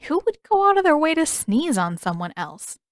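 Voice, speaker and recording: A woman reading scripted fiction aloud in an expressive, conversational storytelling manner. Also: high-pitched, normal speed, no room sound, clean studio sound. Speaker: fan fiction author and audiobook narrator.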